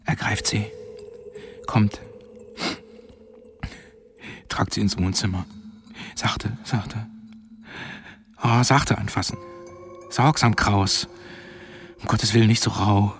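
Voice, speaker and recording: A gravelly, lowish male voice of a distraught headmaster in a radio drama. His commands come in short, urgent, pleading bursts with long gaps between, over some faint noise. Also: steady tone, slow pace, long pauses. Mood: anxious, urgent. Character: distraught male headmaster anxiously ordering his pupils about.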